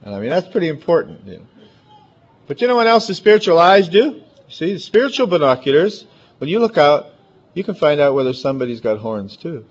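A man's voice: on the high side, big pitch swings, brisk. A man with a big, emphatic voice, preaching a chatty, conversational sermon full of asides. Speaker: pastor. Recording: dull lo-fi recording.

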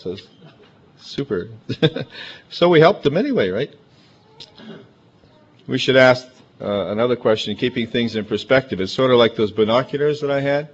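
A man with a big, emphatic voice, preaching a chatty, conversational sermon full of asides. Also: medium pitch. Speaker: pastor. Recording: dull lo-fi recording.

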